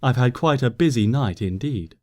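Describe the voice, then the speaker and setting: A man voicing a wise, gentle female moon princess pony who speaks in a formal, slightly old-fashioned way in a storybook reading. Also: medium pitch, lively intonation, moderate pace, natural voice. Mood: fond. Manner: formal, archaic-tinged, tender speech.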